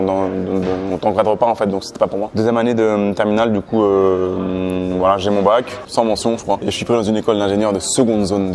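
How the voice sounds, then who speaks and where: Low-voiced, fast-talking French guest. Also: male voice. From France, Paris suburbs. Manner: relaxed, slangy, many fillers and tu vois. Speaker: guest entrepreneur. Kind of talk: casual interview storytelling. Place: hotel lounge indoors, seated on sofa.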